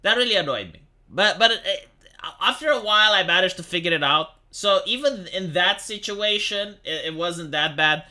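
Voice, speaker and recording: A man's voice: high voice, normal speed, pushed and bright. A young man from Romania hosting a casual livestream, chatting with his chat and reading articles aloud, with many restarts and the odd swear. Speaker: livestream host.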